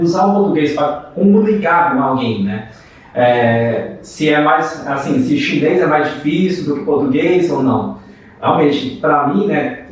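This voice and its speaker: Chinese-born man, echoey distant podcast. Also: fairly high pitch. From China. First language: Mandarin Chinese. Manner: frequent "né" tags, hesitant restarts. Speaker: podcast co-host. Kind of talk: casual podcast chat. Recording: echoey room, off-mic.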